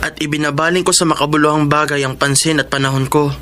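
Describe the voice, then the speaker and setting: A man's voice: on the high side, fairly even intonation, quick pace, pushed and bright. An actor playing a self-conscious, loving teenage boy in a radio drama, earnest and plaintive. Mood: sad, wistful. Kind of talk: Filipino radio drama acting.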